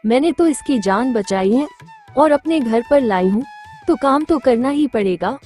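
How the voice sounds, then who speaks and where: A woman voicing a harsh, scolding village stepmother in a moral-story cartoon, with a sharp, threatening manner and music underneath. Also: high-pitched, expressive, measured pace.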